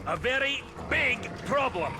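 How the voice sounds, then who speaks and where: A hot-tempered dwarf hunter in a fantasy game, pitched very high for a man and swinging widely. He blusters impatiently and emphatically over background music. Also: sing-song, a little slow, exaggerated voice. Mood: agitated, impatient. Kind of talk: video game cutscene acting. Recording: music under the voice.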